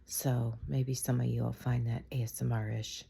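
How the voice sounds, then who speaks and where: A woman with a raspy voice from being sick, chatting casually to camera in a rambling, opinionated gossip vlog. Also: extremely low pitch, little pitch movement, moderate pace, warm tone. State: sick, raspy from a cold, coughing. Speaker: vlogger.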